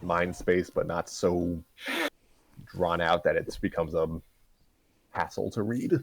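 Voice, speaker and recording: A man's voice: low voice, fairly even intonation, slow, creaky, no room sound. A man chatting casually as co-host of a livestreamed story-reading show, with a dry, joking manner. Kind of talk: casual group chat on a livestream.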